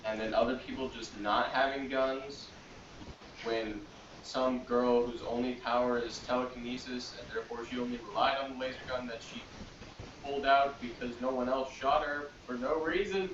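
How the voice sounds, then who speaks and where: A young man giving a casual, critical review with blunt, halting, drawn-out phrasing, heard on a poor roomy recording. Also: medium pitch, steady tone. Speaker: podcast panelist reviewing TV episodes. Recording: roomy, distant, dull, noisy mic.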